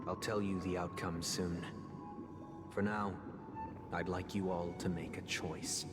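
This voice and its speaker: Deep-voiced, solemn melancholy family head. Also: male voice, little pitch movement. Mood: grave, sorrowful. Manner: measured, solemn, formal, with rhetorical pauses. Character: grave, polite, melancholy male family head recounting tragedies. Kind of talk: theatrical video game monologue. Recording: long reverb, loud music behind. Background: loud game music.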